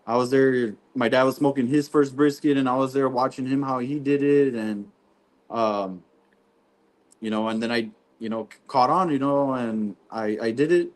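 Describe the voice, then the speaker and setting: A man's voice: mid-range voice, moderate pace. Young guy, chill and rambly, keeps saying you know what i mean. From Texas. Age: 20s. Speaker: guest barbecue pitmaster. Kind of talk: casual podcast interview.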